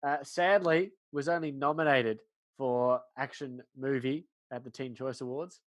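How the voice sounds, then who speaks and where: A man's voice: mid-range voice, steady tone, measured pace. A man chatting casually as a podcast co-host, informal and blokey, with conversational asides. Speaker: podcast co-host discussing films. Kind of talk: casual chat.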